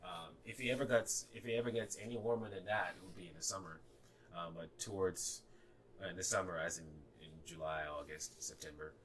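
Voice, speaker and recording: A man's voice: low voice, fairly even intonation, fairly quick, fairly bright tone, low-quality recording. A young man in his twenties from Ghana, a native Ga speaker with a slight Ghanaian accent, talking hesitantly with many fillers and restarts in a casual podcast conversation. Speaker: guest.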